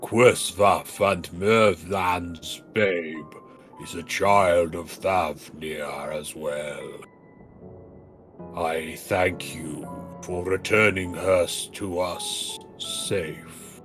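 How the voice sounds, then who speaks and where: Man voicing a very deep, solemn noble dragon. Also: steady tone, music in the background. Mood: grateful. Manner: solemn, formal, measured storytelling delivery. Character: grave, noble, grieving great male dragon. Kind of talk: fantasy game dialogue read aloud.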